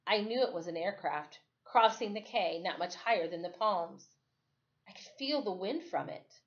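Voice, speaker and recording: A woman's voice: mid-range voice, expressive. Woman reading a story, dull lo-fi sound. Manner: dramatic, deliberate phrasing with acted-out exclamations. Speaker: storyteller reading a children's novel aloud. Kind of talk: expressive first-person story read-aloud. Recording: dull lo-fi recording.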